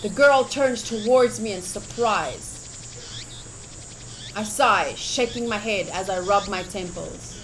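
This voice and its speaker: A woman streaming a game playthrough, chatting casually and reading the text aloud in an expressive, enthusiastic way. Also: mid-range voice, slow pace, a little hiss or hum, poor audio. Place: livestream setup.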